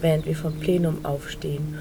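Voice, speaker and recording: A woman's voice: deep voice, little pitch movement, normal speed. A woman narrating a scripted literary audio play, telling it unhurriedly in short phrases. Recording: noisy recording with clear background noise.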